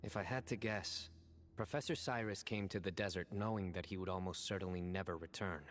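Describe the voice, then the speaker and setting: An actor playing a stern, composed young desert guardian in a video game, speaking in a measured, deliberate, solemn way with reflective resolve. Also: male voice, low-pitched, fairly even intonation, slight background noise, natural voice. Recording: background music under dialogue.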